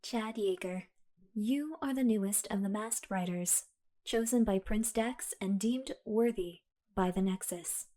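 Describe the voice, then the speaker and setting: A woman voicing a calm, all-knowing female artificial intelligence that lives in a hero's belt, speaking in a measured, formal way. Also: mid-range voice, natural voice. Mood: solemn. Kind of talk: fan audio drama. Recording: music bed underneath.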